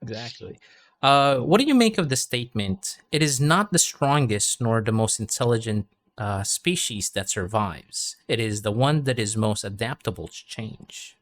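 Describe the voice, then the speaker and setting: A man's voice: medium pitch, expressive, moderate pace. A man tutoring English over a video call, chatting casually and often restarting his words. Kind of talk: conversational English lesson.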